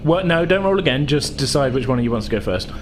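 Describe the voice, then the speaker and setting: A man's voice: mid-range voice, expressive, fast, noisy background. A man narrating a casual tabletop game as game master in a conversational, loosely descriptive way. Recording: loud music behind voice. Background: music.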